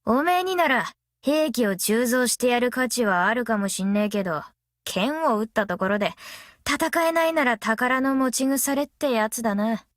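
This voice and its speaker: Woman voicing a brash, high-pitched female smith. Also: animated, moderate pace, no room sound, clean studio sound, close to an everyday voice. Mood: casual, teasing. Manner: rough, cocky, tomboyish colloquial speech. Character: brash, easygoing, long-lived female master metalsmith. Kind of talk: anime mobile game character voice lines.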